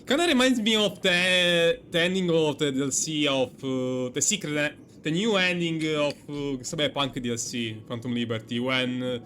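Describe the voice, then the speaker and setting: Bright-voiced man commentating on a game. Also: a little high, slight background noise. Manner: halting, thinking aloud. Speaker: game streamer. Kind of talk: casual live gameplay commentary. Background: faint game music.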